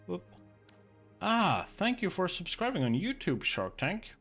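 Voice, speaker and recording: A man's voice: high-pitched, huge pitch swings, a little slow, fairly bright tone, breathy edge, faint music behind. A man from Sweden with a Swedish accent, chatting casually on a game livestream, thinking aloud and reading chat. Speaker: streamer.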